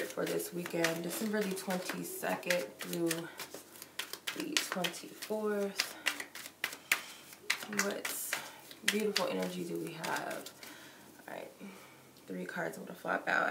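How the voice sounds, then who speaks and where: A woman reads tarot on a live stream, her nose stuffed up from a cold. Her voice sits low and gravelly, and she rambles casually with plenty of likes and ums. The sound bounces around an echoey room over a steady background noise. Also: unhurried, pauses often. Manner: chatty and loose, many likes and ums. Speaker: tarot reader.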